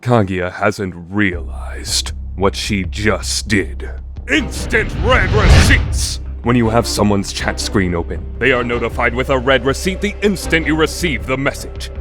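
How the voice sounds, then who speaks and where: Man as a dramatic, animated anime narrator over music. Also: medium pitch, a little slow, close to an everyday voice. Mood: dramatic, explanatory. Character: dramatic, bombastic narrator of a romantic comedy anime. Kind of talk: theatrical scripted anime narration. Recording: music under the voice.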